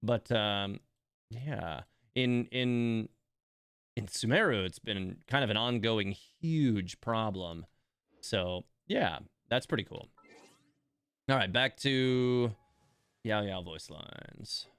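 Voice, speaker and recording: Guy streamer chatting about a game, clean smooth voice, dry close sound, repeats stuff for emphasis. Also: on the low side, slow, a bit bright, no echo. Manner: chatty, emphatic repetition, addresses viewers. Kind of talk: casual live game commentary.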